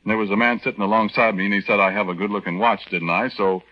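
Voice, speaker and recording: Flustered, stammering young American private played by an actor. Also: male voice, on the low side, fairly even intonation, quick pace, natural voice. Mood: confiding, agitated. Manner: hesitant, stammering, folksy mild exclamations. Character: meek, flustered young married army private, earnest everyman. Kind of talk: 1940s radio drama acting, confiding first-person narration. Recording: dull, band-limited 1940s radio recording.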